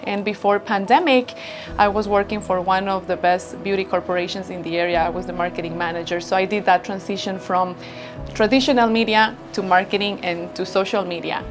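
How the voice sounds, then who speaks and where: Woman founder, 30s, from Ecuador, warm grateful interview talk, music under her. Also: medium pitch, fairly even intonation, a little fast. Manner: earnest, grateful storytelling. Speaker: business founder. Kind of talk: interview answers. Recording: background music bed.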